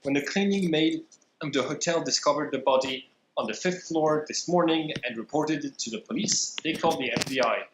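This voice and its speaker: A man running a tabletop game as game master, narrating the scene in a measured, deliberate way with many pauses. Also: a little high, a bit bright, slight background noise. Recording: echoey room.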